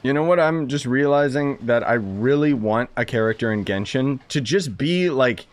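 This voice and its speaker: A man's voice: medium pitch, animated, normal speed. A man chatting informally to viewers as a game streamer, rambling and thinking aloud. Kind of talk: casual live-stream commentary, chatting with viewers.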